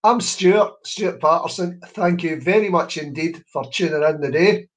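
Man, Scottish accent, 50s, fairly high voice, chatty, encouraging, children's workshop, video call from home. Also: normal speed. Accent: Scottish, Scots-speaking. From Scotland. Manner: chatty and encouraging, drops in Scots words. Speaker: children's author and poet. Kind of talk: online workshop talk to schoolchildren. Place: room at home. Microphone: webcam on a video call.

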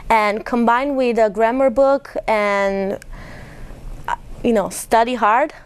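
Italian-accented young woman, language lab staff; resonant, clear delivery; earnest guest explaining her work. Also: a little high, slow pace. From Italy. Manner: earnest, explanatory, with small restarts. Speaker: ESL lab facilitator, guest. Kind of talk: college TV interview.

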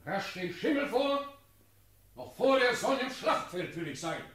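An actor playing a fatherly, authoritative ruler in a classical radio drama, with measured declamation. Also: very high voice, sing-song, a bit bright, full character voice. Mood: commanding. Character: stately, fatherly sovereign prince commanding his army. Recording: echoey, reverberant room.

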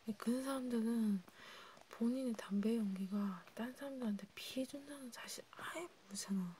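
A woman doing a chatty, intimate ASMR role play in a soft whisper, close to the mic. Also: a little high, moderate pace. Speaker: ASMR creator. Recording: clear background noise with clicks.

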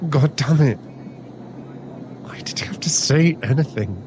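A man's voice: medium pitch, lively intonation, a little slow, close to an everyday voice. A man playing a nervous, grumbling friend in an ASMR audio drama, hesitant and resigned to being bossed around. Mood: indignant. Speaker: voice actor in an audio roleplay. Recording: dull sound, clear cabin noise. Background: vehicle and cabin ambience.

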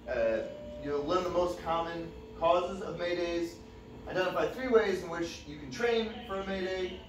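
A young man giving a fire service training talk in a relaxed, conversational way with many fillers, recorded from a distant camera in a dim classroom. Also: a little high. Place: dim training room with projector screen. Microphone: far camera. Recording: echoey room, distant mic.

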